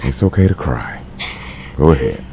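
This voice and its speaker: Gentle gruff man played by an actor, extremely low, gravelly, comforting, fantasy audio drama. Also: lively intonation, moderate pace, slight background noise. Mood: soothing.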